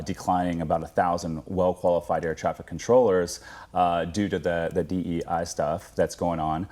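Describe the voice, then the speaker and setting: Brief: male news interview guest, American, low-pitched, firm explanatory delivery. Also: a little mellow. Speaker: interview guest, former air traffic controller.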